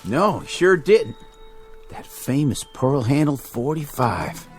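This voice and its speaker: A man's voice: mid-range voice, big pitch swings, slow pace. An actor playing an uneasy, talkative townsman in an old drama, folksy. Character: uneasy, talkative male small-town Western bystander. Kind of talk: old Western drama acting. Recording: vintage TV drama audio with music.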